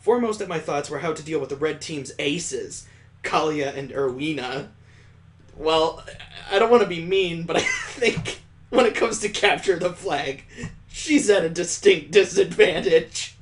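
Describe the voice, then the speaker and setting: A man's voice: fairly high pitch, animated, a little slow, slight background noise. A man reading a visual novel aloud as a streamer, breaking off for sarcastic, amused asides. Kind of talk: reading game text aloud with joking asides.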